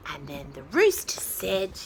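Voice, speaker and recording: A woman's voice: deep voice, huge pitch swings, takes it slowly, very noisy. A woman reading a picture book expressively to young children and explaining things simply. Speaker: children's storyteller.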